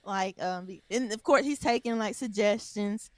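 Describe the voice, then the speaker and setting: A woman's voice: medium pitch, normal speed, darkish tone. A woman co-hosting a casual podcast, telling a story in a rambling way with many ums and restarts. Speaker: podcast co-host. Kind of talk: casual chat.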